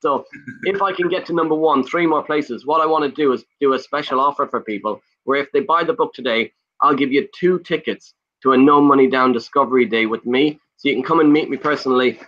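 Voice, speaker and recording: A man with an Irish accent, whose first language is Irish, talking fast and eagerly as a guest on a video call, rattling off lists in a pushy sales pitch. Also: fairly bright tone, highs cut off. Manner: fast, pushy, lists things off in rapid bursts. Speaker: guest, property investor. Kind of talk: enthusiastic sales pitch in conversation.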